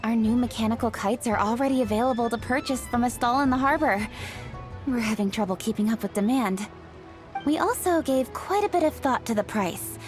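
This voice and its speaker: An actress playing an earnest young official in a video game, speaking in a measured, explanatory way. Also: female voice, on the high side, soft background music, lightly acted. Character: young official woman, earnest, proud of tradition.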